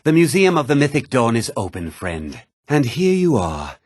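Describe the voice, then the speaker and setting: Zealous cult-descended curator, fantasy video game, rough husky voice, very animated delivery, dry close sound. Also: male voice, mid-range voice, normal speed, no echo. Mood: businesslike, eager. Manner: theatrical, earnest, swinging delivery. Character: obsessed, zealous museum keeper descended from a cult. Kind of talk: scripted video game dialogue.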